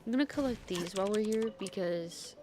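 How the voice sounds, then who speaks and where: A woman's voice: medium pitch, fairly quick, warm tone, faint music behind. A woman giving casual gameplay commentary as a streamer, talking to herself playfully and swearing casually. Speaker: game streamer.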